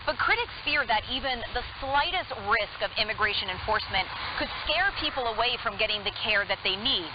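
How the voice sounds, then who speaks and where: A woman's voice: fairly high pitch, animated, brisk, hardly any pauses. Lady reporter reading her news piece, muffled noisy shortwave radio. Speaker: news correspondent.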